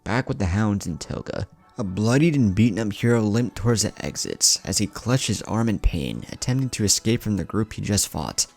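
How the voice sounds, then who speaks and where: A man's voice: lowish voice, lively intonation, normal speed, creaky, a little mellow. A man narrating a scripted fan fiction story.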